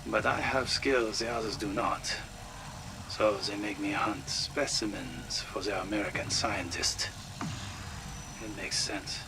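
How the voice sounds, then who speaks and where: A man's voice: fairly even intonation, unhurried. A man playing a captured sergeant turned monster hunter, dry and resigned in a game audio log. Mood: cynical, resigned. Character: captured German male sergeant, cynical expendable monster hunter. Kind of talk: dramatic audio log acting. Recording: noisy audio log with engine-like rumble.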